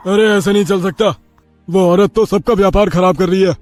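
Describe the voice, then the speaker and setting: A man playing a hostile, jealous market shopkeeper in an audio storybook, loud and scornful, with music behind. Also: high voice, steady tone, normal speed, close to an everyday voice. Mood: indignant. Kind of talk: acted dialogue in audio storybook.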